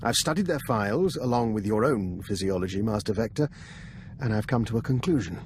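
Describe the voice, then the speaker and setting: Game character, played by a man: older spy doctor, wry, precise, lowish register. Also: lively intonation, a little fast, a little hiss or hum, close to an everyday voice. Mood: grave, precise. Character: shrewd, dry-witted older male imperial scientist spy. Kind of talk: video game cutscene acting.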